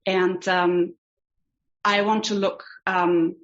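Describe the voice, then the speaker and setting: A woman's voice: on the low side, flat delivery, slow pace. A woman from Germany with a German accent, a rabbinical student teaching an online class, hesitant and full of ums. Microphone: video call. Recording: dull lo-fi video call audio.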